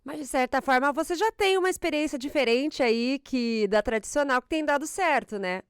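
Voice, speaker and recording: A woman's voice: high voice, normal speed, dry recording, studio quality. A Brazilian woman hosting an interview, asking long questions padded with fillers. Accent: Brazilian Portuguese. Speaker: podcast host.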